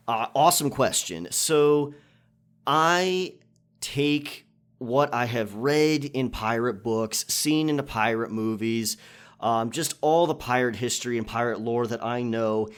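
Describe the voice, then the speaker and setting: A man talking casually and reflectively as a channel creator, answering listener questions and explaining his thinking. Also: medium pitch. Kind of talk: casual Q&A monologue.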